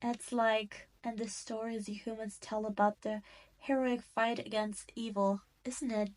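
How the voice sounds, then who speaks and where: Slow, taunting demon queen, acted by a woman. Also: on the high side, flat delivery, a little mellow, close to an everyday voice, poor audio. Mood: gloating, mocking. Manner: teasing, condescending, drawn-out delivery. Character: cruel, arrogant adult female demon queen, mocking and possessive. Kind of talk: scripted roleplay audio drama, speaking to the listener.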